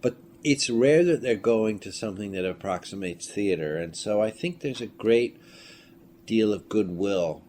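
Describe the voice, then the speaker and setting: A man with a notably low voice talks casually as an interview guest. He muses haltingly with dry humor. Faint noise of the room sits behind him. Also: a little mellow. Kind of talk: casual conversation. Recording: noisy room with ambient sound.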